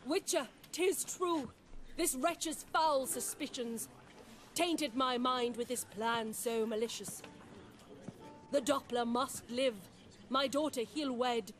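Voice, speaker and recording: High, drawn-out melodramatic princess. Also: female voice. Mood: declamatory. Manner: theatrical, declamatory, drawn-out. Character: melodramatic stage princess declaiming in rhyme, imperious.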